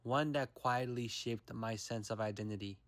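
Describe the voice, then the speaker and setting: A young man from the United States giving a reflective, measured narration for a personal documentary. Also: medium pitch, fairly even intonation, dry recording.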